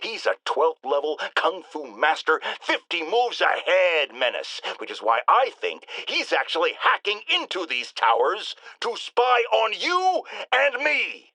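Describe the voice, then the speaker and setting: A man's voice: high-pitched, huge pitch swings, a little slow, hardly any pauses, sharp tone, no room sound, exaggerated voice, low-quality recording. A man playing a pompous, paranoid middle-aged radio talk show host in a video game, ranting theatrically with indignant emphasis. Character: bombastic, paranoid middle-aged male radio shock jock.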